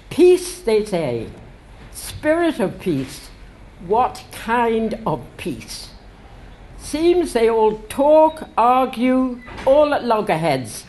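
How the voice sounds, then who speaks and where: A woman's voice: medium pitch, a little mellow, slight background noise, poor audio. An older woman speaking at her book launch, chatty and wry, laughing between passages she reads aloud to a crowd in a bookshop. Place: indoor bookshop with a standing crowd. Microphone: stand mic at a lectern.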